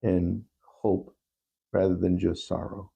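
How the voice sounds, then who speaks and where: A man speaking as a podcast guest in a reflective interview, gentle, reassuring and deliberate. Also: deep voice, little pitch movement, vocal fry, breathy edge, dull audio.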